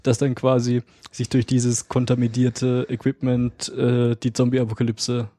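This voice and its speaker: Hoarse podcast guy, flat calm voice, dry and close. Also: mid-range voice, a little slow, dry recording. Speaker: podcast co-host. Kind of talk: casual chat.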